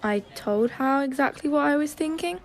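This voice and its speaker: A woman's voice: high voice, normal speed. A woman playing a guarded, sceptical young loyal friend in an audio drama, hesitant and doubtful.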